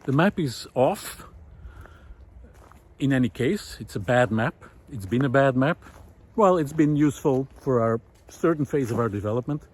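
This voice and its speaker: A man talking reflectively to the camera as he walks outdoors on a mountain forest path, pausing often with fillers and restarts. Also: medium pitch, lively intonation. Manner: thoughtful, hesitant, many fillers and restarts. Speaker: vlog host. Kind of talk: reflective walking monologue.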